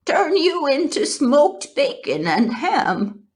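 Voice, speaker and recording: Storybook old ewe, voiced by a woman: unhurried, unsentimental gossip, bluntly matter-of-fact. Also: sits high, very animated, pitched above the performer's own voice, heavily acted. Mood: blunt, grim.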